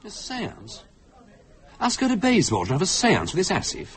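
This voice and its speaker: A paternal, cynical British husband in a radio play. His speech swings widely in pitch as he cajoles and insists, always sure he knows better. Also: huge pitch swings, moderate pace. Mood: scornful, sceptical. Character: fussy, paternal, coaxing husband, sceptical and cynical. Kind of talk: British radio drama acting.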